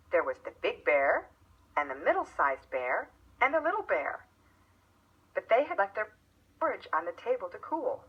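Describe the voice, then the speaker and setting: A woman narrating a fairy tale in a measured, expressive storytelling manner for children. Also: on the low side, husky, dull audio.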